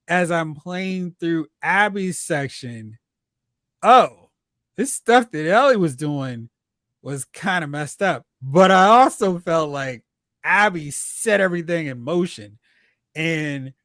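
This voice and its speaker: A host chatting casually about pop culture, retelling reactions in slangy, animated asides. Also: medium pitch, slow pace, dry recording, clean studio sound. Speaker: host of a film and TV discussion show.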